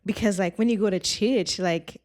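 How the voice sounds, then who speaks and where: A woman's voice: medium pitch, moderate pace, no room sound, clean studio sound. A woman with a Trinidadian accent, a former youth pastor chatting casually as a podcast guest and telling stories with animation. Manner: animated storytelling, laughs often.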